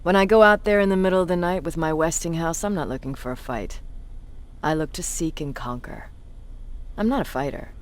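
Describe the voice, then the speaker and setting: A cynical military commander in a video game voiced by an actor. The voice sits at a middle pitch and moves deliberately. It is world-weary, condescending and quietly intimidating, like a self-confessed bully addressing a subordinate. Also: slight background noise, close to an everyday voice. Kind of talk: video game cutscene acting, dramatic monologue.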